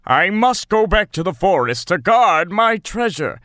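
Man acting a slow, gentle, big friendly bear. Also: high-pitched, big pitch swings, no room sound, studio quality, exaggerated voice. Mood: solemn. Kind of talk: children's storybook audio drama.

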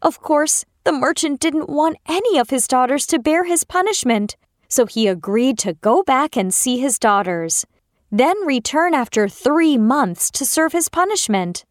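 A woman narrating a fairy tale for children as a storyteller, with expressive delivery. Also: sits high, measured pace, no room sound, studio quality.

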